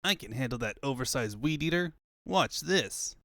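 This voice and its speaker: A man's voice: medium pitch, animated, normal speed, fairly bright tone, dry recording, studio quality. A man voicing a brash, slangy young male hedgehog hero in a comic fandub. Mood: bold. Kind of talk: comic fandub character acting.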